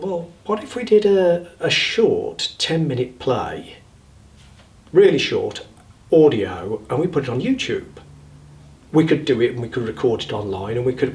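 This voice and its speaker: A man's voice: medium pitch, recorded from a distance. A man talking informally and enthusiastically in an interview, repeating words for emphasis. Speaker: theatre member interviewed.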